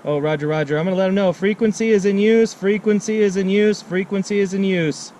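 American man, middle-aged, higher-pitched, casual radio-operator chat, repeated call phrases, noisy outdoor camera sound. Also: steady tone, fairly quick, darkish tone. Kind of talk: casual radio chat while demonstrating gear. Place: outdoor picnic table on a wooded mountain. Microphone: camera on the table nearby. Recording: outdoor camera audio with clear noise.

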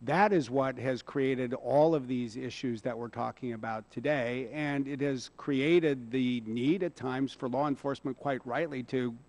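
A middle-aged man testifying at a hearing, speaking in a measured, persuasive way into a table microphone in a large hearing room. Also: medium pitch, steady tone, darkish tone. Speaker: hearing witness. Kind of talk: congressional testimony.

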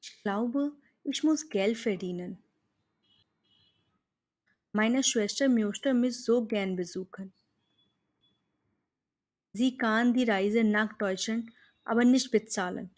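A woman with an Indian accent voicing a polite, hopeful young Indian woman looking for a job, in slow, carefully pronounced scripted dialogue for learners. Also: fairly high pitch, darkish tone. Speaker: German teacher.

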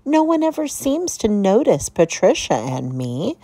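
Vain young storybook hamster girl, played by a woman, gushy and theatrical, hugely animated pitch swings. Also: a little slow, slightly rough, no room sound, exaggerated voice. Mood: wistful. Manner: gushing, dramatic. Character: excitable, vain young female hamster chasing glamour. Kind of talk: children's storybook character voice.

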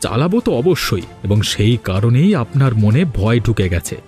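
A man's voice: mid-range voice, sing-song, a little fast, a little mellow, faint music behind, close to an everyday voice. Man acting a proud, emphatic village husband. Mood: defiant. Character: proud, defiant, hard-working village husband. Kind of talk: cartoon voice acting.

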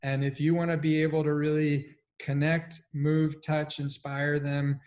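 A man's voice: on the high side, little pitch movement, measured pace, a little mellow. Male panelist, forties, articulate storyteller, restrained intonation. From Colombia, moved to the United States as a child. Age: 40s. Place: living room at home.

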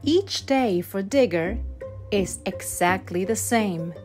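A woman reading a rhyming children's picture book aloud with measured, deliberate phrasing. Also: medium pitch, animated, darkish tone. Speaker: storybook narrator. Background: instrumental backing music.

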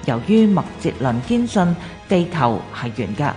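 A woman's voice: sits low, sing-song, moderate pace, dark tone. A woman with a Hong Kong Cantonese accent reading scripted historical documentary narration for radio. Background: music.

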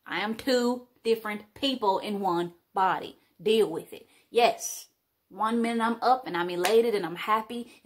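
A woman voicing a restless, chatty person who rambles excitedly and cannot stop talking. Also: mid-range voice, lightly acted. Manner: rapid, excited rambling with sudden swings.

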